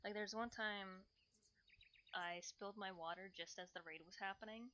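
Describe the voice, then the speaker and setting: A woman's voice: medium pitch, steady tone, normal speed, sharp tone, muffled recording, a little hiss or hum. A woman livestreamer chatting casually, playfully rambling and thinking aloud.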